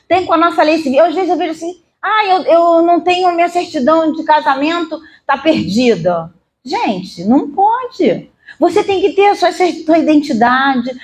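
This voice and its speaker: A woman with a notably high voice and a Brazilian Portuguese accent preaches in an informal teaching style. She is emphatic and didactic, repeating phrases for weight and checking that her listeners follow. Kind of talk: sermon, informal Bible teaching.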